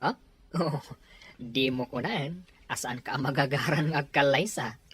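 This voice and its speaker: Woman playing a husky, low-voiced teasing young guy. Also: measured pace, full character voice. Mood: friendly banter. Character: teasing, easygoing young male office friend. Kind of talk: Ilocano radio-style drama acting.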